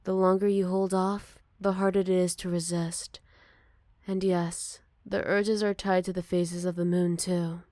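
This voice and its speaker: A woman voicing a gentle, motherly older werewolf, wistful and protective, in a soft and caring ASMR roleplay. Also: lowish voice, little pitch movement, no room sound, clean studio sound, natural voice. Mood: wry, reflective. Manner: soft, caring, unhurried, slipping into whispers. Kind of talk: ASMR roleplay, intimate one-sided dialogue to listener.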